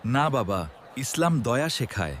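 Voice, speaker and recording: Man acting gentle pious dad, children's cartoon, very melodic delivery, simple teaching phrases, slight noise behind. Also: mid-range voice, sing-song, measured pace. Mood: kindly, devout. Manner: instructive, kindly, simple phrasing. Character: gentle, pious father teaching his young son. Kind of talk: children's educational cartoon dialogue.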